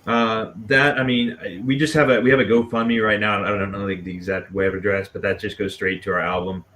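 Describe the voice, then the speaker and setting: A man from Dallas chatting casually as a band musician being interviewed, halting and self-effacing. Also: on the low side, flat delivery, fairly bright tone. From Dallas, Texas. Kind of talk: casual chat. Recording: clearly noisy background.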